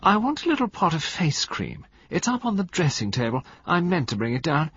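A man voicing a vain, seductive woman with a high, affected drawl, in a storybook narration. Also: very animated, brisk, a bit bright, breathy edge, no room sound, muffled recording, exaggerated voice. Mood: coaxing. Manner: affected, theatrical, raised voice.

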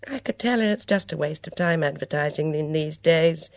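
A woman's voice: sits low, normal speed, dry recording, studio quality, voice pushed up. A woman voicing a chattering, fussy middle-aged mother who prattles with disapproving asides.

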